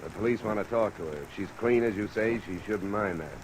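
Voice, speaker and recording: An actor playing a tough, cool-headed insurance investigator in a 1950s radio detective drama, speaking in a terse, dry way. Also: male voice, fairly low pitch, fast talker, natural voice, poor audio. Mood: firm, pressing. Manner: terse, dry, hard-boiled. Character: tough, cool-headed male insurance investigator who narrates his case. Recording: old radio recording, steady rain noise. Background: rain sounds.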